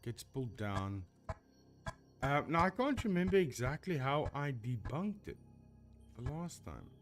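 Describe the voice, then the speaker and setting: Animated man rambling on stream. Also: mid-range voice, unhurried, over music. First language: Afrikaans. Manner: rambling, self-correcting, animated. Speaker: streamer debunking ghost videos. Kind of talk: casual live-stream commentary.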